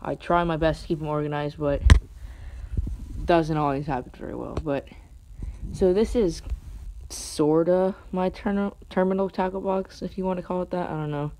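A man's voice: on the high side, steady tone, normal speed, darkish tone, low-quality recording. A man casually showing his fishing tackle, hesitant and rambling, with unsure asides, recorded on a phone in a carpeted room at home. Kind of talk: casual unscripted show-and-tell. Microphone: phone camera held over the gear. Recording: phone recording with clear background noise.

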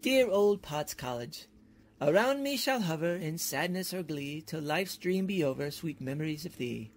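An actor playing an eager, flattering, bumbling male professor in a theatrical old-time radio comedy style. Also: mid-range voice, normal speed. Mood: reciting grandly. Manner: theatrical, effusive, hesitant fillers.

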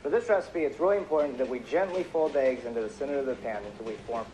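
Brisk man narrating a recipe clip. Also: medium pitch, dark tone, a little hiss or hum, rough recording. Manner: brisk, instructive. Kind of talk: scripted instructional narration.

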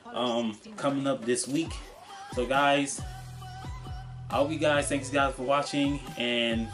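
A young man chatting casually in an unscripted vlog, halting and repeating himself as he stumbles over his words. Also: medium pitch. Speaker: vlogger showing convention purchases. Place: small cluttered room at home, indoors.

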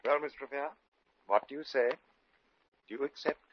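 An actor playing a bashful, stammering dress-shop owner in a 1950 radio comedy. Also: male voice, mid-range voice, moderate pace, a little hiss or hum, natural voice. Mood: hesitant, eager. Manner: hesitant, stammering, nervous. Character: bashful, flustered British dress-shop owner making a proposal. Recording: muffled vintage 1950s radio recording.

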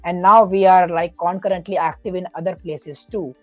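A man's voice: high-pitched, fairly even intonation, normal speed, dry recording, highs cut off, faint music behind. A man speaking as a podcast guest, giving earnest, explanatory advice in a casual way, often correcting himself mid-sentence.

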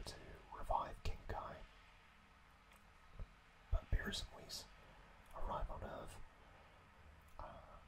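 A man whispering breathily and close to the microphone as an ASMR host, recounting in a hushed, halting way. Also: poor audio. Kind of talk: whispered ASMR recap narration.

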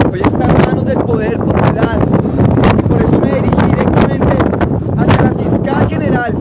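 A man in his forties, speaking in a high, urgent voice, rattles off emphatic rhetorical lists like a protest speech. He holds his phone at arm's length on an open plaza. Heavy wind roar and traffic batter the mic. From Colombia. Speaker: activist. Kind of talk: impassioned political speech to camera. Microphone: phone camera at arm's length.

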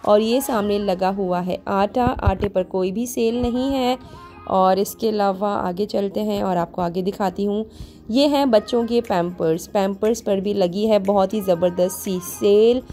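A woman vlogger walks the aisles, pitching deals in a chatty, upbeat way. Her voice is mellow and warm, and faint music plays under her phone recording. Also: measured pace. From Pakistan. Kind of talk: grocery store walkthrough narration.

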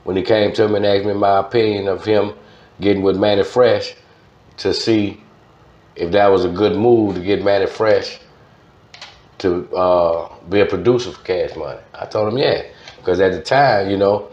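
Casting: middle-aged Southern US man, lowish voice, casual emphatic storyteller, dull roomy studio sound. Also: normal speed, a little mellow. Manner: emphatic, repeats words and phrases. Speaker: interview guest, former radio DJ.

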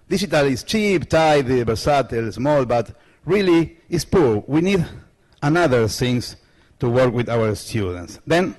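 Middle-aged argentinian guy giving a talk, halting english with lots of uhs, really slow, handheld mic in a big hall. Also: mid-range voice, a little mellow. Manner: halting non-native English with many fillers and restarts. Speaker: conference presenter. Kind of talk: conference talk. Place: large lecture hall stage. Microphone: handheld microphone.

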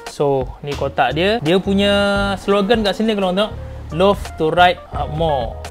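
A Malaysian man with a fairly high-set voice presents an unboxing in a casual, slangy style. He is an enthusiastic vlog host who leans on catchphrases. Light music plays behind him. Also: lively intonation, measured pace. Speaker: motovlog host. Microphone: clip-on lapel mic.

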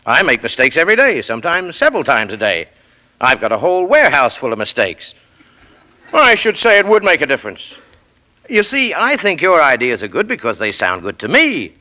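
A man's voice: fairly high pitch, wildly swooping pitch, a little fast, pushed and bright, heavily acted. An actor playing a pompous, grudging American businessman boss, blustering and stammering in 1940s radio drama style. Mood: self-satisfied, blustering.

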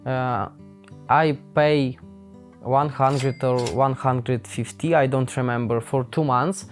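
Slow, halting young man, Ukrainian accent. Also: medium pitch, fairly even intonation. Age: young adult. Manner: halting and hesitant, with many pauses and fillers. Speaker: vlogger. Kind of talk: unscripted casual talk to camera. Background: faint guitar music.

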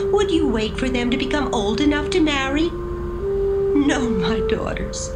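An actress playing a sorrowful older widowed mother-in-law in a dramatised Bible recording, speaking earnestly and pleadingly. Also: high voice. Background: music.

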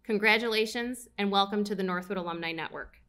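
A young woman, an alumni relations director, reading a formal address at a podium on stage in a measured way. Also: medium pitch, bright, forceful tone. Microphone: podium mic.

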